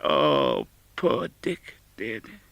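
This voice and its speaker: A man voicing an excitable old male miser who stammers and quavers with emotion. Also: lowish voice, drawn-out words, gravelly, dry recording, full character voice. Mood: fond.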